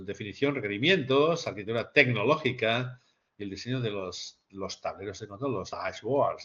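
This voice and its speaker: Low-voiced man lecturing, dull recording. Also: fairly bright tone. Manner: emphatic repetition, rhetorical questions. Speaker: lecturer presenting a business case to master's students. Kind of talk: spoken slide presentation, explanatory lecture. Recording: dull, lo-fi sound.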